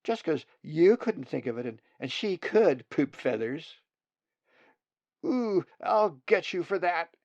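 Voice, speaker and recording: A man voicing squabbling, eager young raven nestlings in a storybook reading, clamoring and shouting childishly. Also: a little high, sing-song, slow pace, no room sound, lo-fi sound, pitched above the performer's own voice, exaggerated voice.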